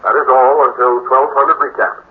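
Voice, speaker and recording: An actor playing a formal school intercom newsreader, delivering an official bulletin in 1950s radio style. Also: male voice, mid-range voice, little pitch movement, normal speed, close to an everyday voice. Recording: narrow, tinny old radio sound.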